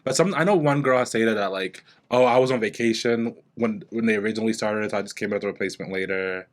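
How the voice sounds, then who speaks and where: A man's voice: on the low side, fast talker. A young man co-hosting a podcast, chatting casually and laughing often as he recaps. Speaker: podcast co-host. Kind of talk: casual chat.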